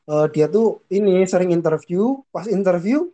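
A man's voice: sits high, lively intonation, moderate pace, warm tone. A young man with a northern Ngapak Javanese accent, chatting casually as a podcast co-host, rambling with restarts and easy laughter.

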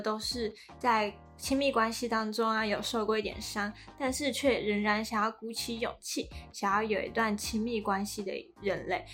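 A woman's voice: fairly high pitch, a bit bright. A woman with a Taiwanese accent presenting a podcast in a conversational style as co-host.

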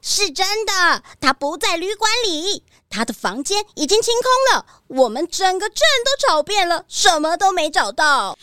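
A woman's voice: very high-pitched, sing-song, normal speed, few pauses, pushed and bright, no room sound, studio quality, exaggerated voice. A woman voicing a worried, chattering village woman in a children's radio drama.

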